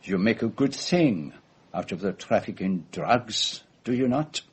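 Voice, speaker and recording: An actor playing a fussy, shrewd foreign gentleman detective, speaking in precise, formal phrases in a radio drama. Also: fairly low pitch, animated, unhurried, slightly rough, heavily acted. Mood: stern accusation. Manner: precise, theatrical, formal phrasing with French forms of address.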